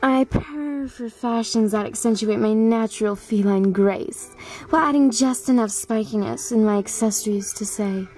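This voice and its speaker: A proud, sassy teenage cat girl acted by a woman from a cartoon. The voice is high and mellow, with music behind it. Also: moderate pace, far from the mic, close to an everyday voice, rough recording. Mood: smug.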